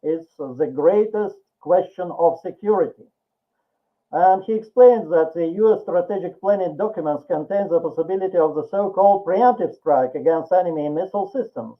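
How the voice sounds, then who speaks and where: An older man with a Russian accent giving a formal, deliberate presentation as an academic panelist over an online call. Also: sits high. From Soviet Russia. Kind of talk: prepared seminar presentation. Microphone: video call. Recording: tinny phone-like online call.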